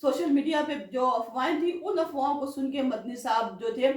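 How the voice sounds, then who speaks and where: A high-pitched woman speaks in an earnest, reproachful commentary voice that keeps pushing toward indignant questions. She sits back from the mic in a roomy, echoing space. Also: moderate pace. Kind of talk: political commentary monologue. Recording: roomy echo from a distance.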